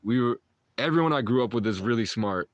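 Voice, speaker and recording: A young man in his twenties hosts a loose livestream, his voice sitting at an ordinary middle pitch. He drifts from thought to thought, cutting himself off and chuckling. The sound is dry and close, with no room around it. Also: animated, fairly quick, no echo, muffled recording. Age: 20s. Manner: meandering, mystical talk with self-interrupting restarts and chuckles. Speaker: livestream host. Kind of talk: rambling livestream chat with viewers.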